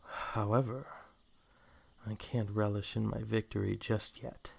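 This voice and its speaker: Naga hypnotist lover, male actor: possessive, coaxing villain, slow delivery with a creaky, fried edge, audio drama. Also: on the low side, level tone, heavily acted. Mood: brooding, scheming. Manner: slow, coaxing, theatrical villain purr, often near whispering. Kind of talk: scripted fan audio drama acting.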